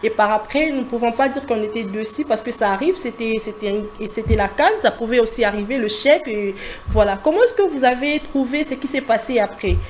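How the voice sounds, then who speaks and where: A woman's voice: a little high, brisk. A woman hosting a conversational TV interview, putting questions to her guest. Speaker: talk show host interviewing a guest. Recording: clearly noisy background ambience.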